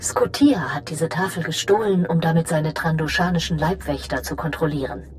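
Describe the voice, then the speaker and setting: A woman playing a scheming, imperious female Sith lord in a German game dub, commanding and deliberate. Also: low voice, a little hiss or hum, natural voice. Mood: calculating, commanding.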